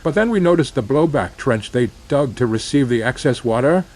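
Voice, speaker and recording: Male actor as drilling contractor, everyday medium-pitched voice, straightforward testimony for analog horror. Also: expressive, moderate pace, darkish tone, natural voice. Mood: puzzled, uneasy. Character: plain-spoken male drilling contractor recounting a mystery. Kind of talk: matter-of-fact first-person horror testimony.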